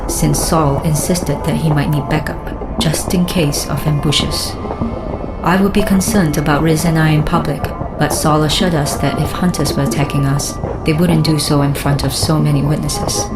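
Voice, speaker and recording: A woman's voice: low voice, close to an everyday voice. An actress playing a wry, observant female adventurer, narrating in the first person for an audio drama.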